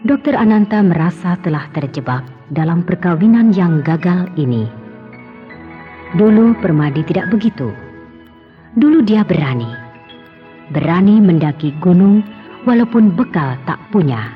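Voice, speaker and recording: A woman's voice: fairly low pitch, animated, a little slow, pauses often. A woman narrator giving dramatic scripted narration for an Indonesian radio serial. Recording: dull lo-fi radio drama, music behind.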